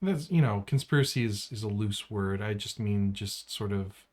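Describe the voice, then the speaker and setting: A man's voice: lowish voice, normal speed, a little mellow. A man with an American accent co-hosting a podcast in casual, slangy banter peppered with "like" and "man". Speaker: podcast co-host.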